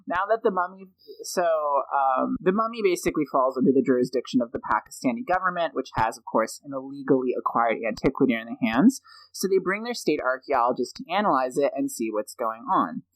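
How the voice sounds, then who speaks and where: A man's voice: mid-range voice, lively intonation, brisk, fairly bright tone, no room sound, low-quality recording. A man guesting on a podcast, telling a story in a chatty, jokey way and reading quotes aloud. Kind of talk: casual storytelling chat.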